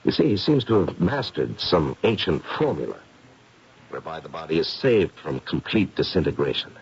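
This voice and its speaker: An actor playing an older, gray-haired occult investigator in a 1970s radio drama, theatrical and probing, with deliberate dramatic pauses. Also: male voice, extremely low pitch, sing-song, husky, exaggerated voice. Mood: solemn, philosophical. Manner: deliberate, dramatic pauses with hesitant lead-ins. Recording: muffled, noisy 1970s radio recording.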